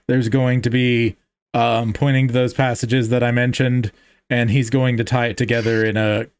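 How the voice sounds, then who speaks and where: A man's voice: mid-range voice, little pitch movement, moderate pace, clear, resonant tone. A man hosting a podcast, talking through theology in a casual, searching way with lots of fillers and restarts. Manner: thinks aloud with many fillers and restarts. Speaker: podcast host.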